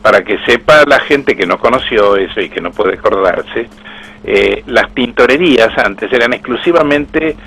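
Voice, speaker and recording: Hombre mayor de voz ronca, acento rioplatense. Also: male voice, mid-range voice, animated, normal speed, creaky, fairly bright tone, husky, low-quality recording. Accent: Argentine Spanish. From Argentina. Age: older. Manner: storytelling, proud, with restarts. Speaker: voice actor interviewed. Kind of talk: anecdotal interview talk.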